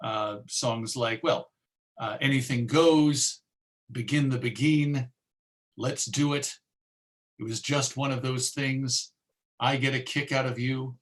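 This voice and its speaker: An American man presents to listeners in an informal lecture style. His voice sits at a medium pitch, and he tells things conversationally, with emphasis on key points. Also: steady tone, takes it slowly, fairly bright tone. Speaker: presenter of a music history talk.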